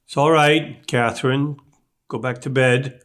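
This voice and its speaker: A man reading the part of a weary father who tries to calm his son and wife in a table read. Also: mid-range voice, a little slow, lightly acted. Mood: stern. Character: weary older father, firm and placating.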